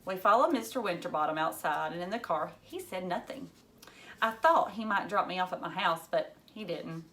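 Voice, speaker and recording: A woman narrating a children's novel aloud in an expressive read-aloud style. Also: on the low side, normal speed.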